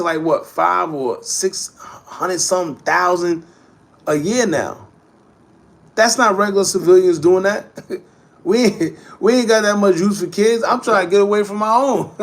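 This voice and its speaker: A man in his thirties with a gravelly, creaky voice, pitched a little higher than most men. He hosts a loose livestream chat, reacts to viewer comments and rambles on in casual slang. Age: 30s. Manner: slangy, rambling, conversational street talk. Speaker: host.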